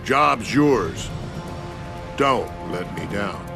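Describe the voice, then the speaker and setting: An actor playing a stern older navy admiral giving clipped orders in a video game. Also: male voice, on the low side, lively intonation, very slow, gravelly, over music, exaggerated voice. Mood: firm.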